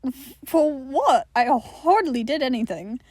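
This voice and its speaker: A woman's voice: sits high, expressive, measured pace, darkish tone, dry recording. A woman voicing a wary, exasperated man in an audio story, stammering and irritable. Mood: frustrated. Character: wary, exasperated, flustered man named John.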